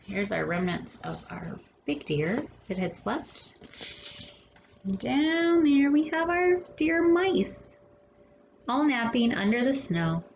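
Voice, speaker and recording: A woman hosting a storytime, reading a children's picture book expressively with dramatic pauses and casual asides. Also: fairly high pitch, gravelly, dull audio. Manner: animated, dramatic pauses between phrases. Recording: roomy echo with faint background noise.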